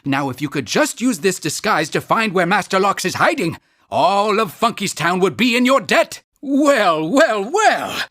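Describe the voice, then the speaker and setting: An actor playing a fussy, absent-minded town mayor in a cartoon video game, wordy and politely pompous. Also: male voice, high-pitched, very animated, a little slow, fairly bright tone, dry recording, exaggerated voice. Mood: teasing, admonishing.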